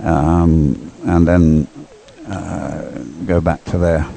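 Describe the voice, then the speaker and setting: A man's voice: extremely low pitch, level tone, extremely slow, creaky, warm tone, slightly rough. A man giving live sports commentary in a hesitant, conversational, loosely phrased way. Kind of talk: live commentary on dragon boat racing.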